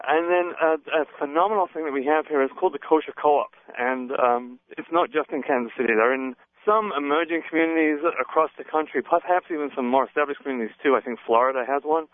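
A man with a fading English accent, talking as a guest on the phone in a friendly, measured way. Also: on the high side, no room sound. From England. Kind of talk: phone interview. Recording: tinny phone line.

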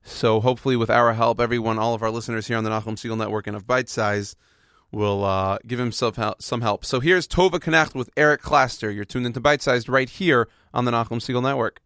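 A man's voice: mid-range voice, a little fast, fairly bright tone, dry recording, studio quality. A man hosting a radio show, talking informally to his listeners. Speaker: show host.

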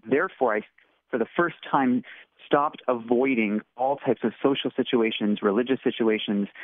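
A man's voice: lowish voice, no room sound. A man, author and interview guest, who speaks with a stutter and often repeats words. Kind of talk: interview conversation. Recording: tinny phone line.

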